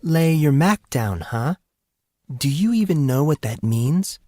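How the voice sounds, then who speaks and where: A man performs a teenage girl for an audiobook. The voice is lifted in pitch and sounds cool and a little exasperated. The delivery is curt and teasing, recorded dry and close. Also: lively intonation, slow pace, darkish tone, no room sound. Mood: surprised, teasing. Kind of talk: audiobook character voice.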